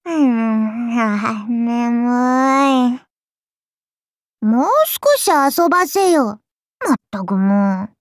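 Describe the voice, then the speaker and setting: A woman's voice: on the high side, extremely slow, long pauses, no echo, clean studio sound. A woman voicing an imperious, playful childlike serpent-god oni girl in a game, with archaic, haughty, teasing drawl. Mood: sleepy, languid. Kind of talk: game character voice lines.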